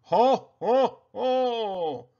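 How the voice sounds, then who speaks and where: A man voicing Santa as a hearty, booming old man. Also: expressive, very slow, dark tone, exaggerated voice. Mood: jolly. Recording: dull lo-fi sound.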